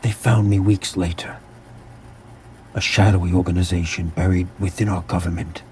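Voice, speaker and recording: A man's voice: deep voice, little pitch movement, moderate pace, warm tone, a little hiss or hum, close to an everyday voice. A man playing a haunted, battle-hardened army captain, narrating occult horrors in a brooding, theatrical way with clipped dramatic phrases in an audio drama. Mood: violent, intense, then grim, resolute. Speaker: voice actor.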